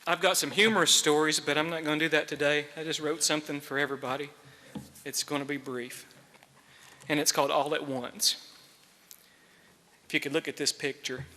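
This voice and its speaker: A man's voice: a little high, pushed and bright. A man giving a memorial tribute to a gathering. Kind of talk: memorial speech with personal anecdote and reading. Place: large hall, speaking to a gathering. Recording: echoey hall with long reverb.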